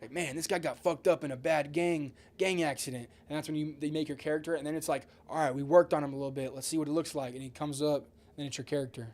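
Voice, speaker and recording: A man with a slightly high voice chats casually as a podcast co-host. He is enthusiastic and loose, using slang and swearing freely. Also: steady tone, fast, few pauses, darkish tone. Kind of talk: casual podcast chat about video games.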